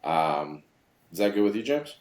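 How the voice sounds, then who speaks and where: A man's voice: low voice, big pitch swings, normal speed, a little mellow. A man hosting a sports podcast in an upbeat, easygoing way with many fillers. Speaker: podcast host. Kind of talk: casual sports chat.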